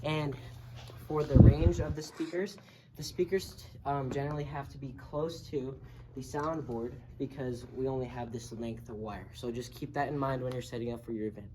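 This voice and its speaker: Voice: medium pitch, steady tone, moderate pace, dark tone. A person giving a casual, step-by-step, hands-on tutorial as an instructor. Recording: noisy amateur video recording, background noise.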